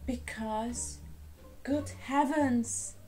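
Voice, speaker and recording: A woman's voice: fairly high pitch, very slow, mellow, soft background music. A woman voicing a patient but exasperated father in a children's storybook, explaining things with pleading emphasis. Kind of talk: storybook character voice.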